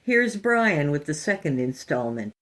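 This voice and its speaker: A woman's voice: low-pitched, very animated, unhurried. A woman reading a scripted horror podcast introduction as host, in a theatrical, playfully macabre manner.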